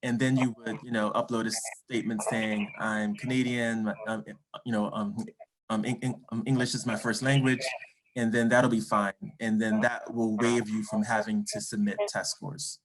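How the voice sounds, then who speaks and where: A man's voice: lowish voice, little pitch movement, normal speed, background noise. A man from admissions answering a webinar question, hesitant, with many ums and restarts. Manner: hesitant, stumbling over words with many ums. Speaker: admissions representative. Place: online webinar call.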